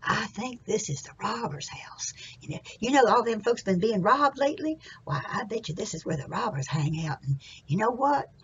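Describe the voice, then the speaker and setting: A woman's voice: medium pitch, animated, normal speed, hardly any pauses, muffled recording, a little hiss or hum, lightly acted. A woman voicing a clever, bossy boy hero in a folktale, with a coaxing, conspiratorial manner. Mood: hushed, scheming.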